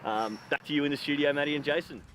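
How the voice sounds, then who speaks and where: A man's voice: a little high, fast talker, a bit bright, rough recording. A man from Australia doing an upbeat sideline interview outdoors at a sports event, chatty and full of ums and uhs. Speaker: field interviewer. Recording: outdoor mic with clear background noise.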